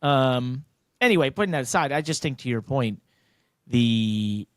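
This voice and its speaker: A man's voice: medium pitch, lively intonation, unhurried, no room sound, clean studio sound. An American man hosting a casual sports podcast, talking bluntly and emphatically in long, rambling turns. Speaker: podcast host, racing driver.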